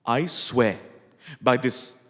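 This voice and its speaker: An actor playing a doomed, sleep-cursed playwright, delivering a rueful, desperate stage monologue in a theatrical, deliberate manner. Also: male voice, mid-range voice, a bit bright. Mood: resigned, solemn. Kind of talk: dramatic stage monologue reading. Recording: long hall-like reverb.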